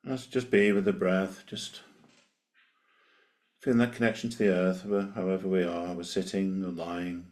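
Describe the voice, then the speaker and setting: A man leading a guided meditation, giving gentle, unhurried invitations with long pauses between them. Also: low-pitched, flat delivery.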